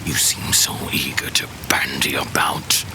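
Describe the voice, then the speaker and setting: An actor playing a menacing, scheming fallen villain in a fantasy audio drama, imperious and threatening, with a deep gravelly voice. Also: male voice, level tone, whispered, heavily acted, low-quality recording. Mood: menacing, scornful. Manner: slow, threatening, persuasive.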